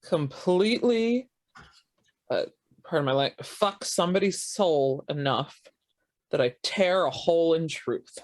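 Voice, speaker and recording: A woman's voice: sits low, lively intonation, measured pace, dry recording. A woman playing a tabletop roleplaying game, talking casually out of character about the story.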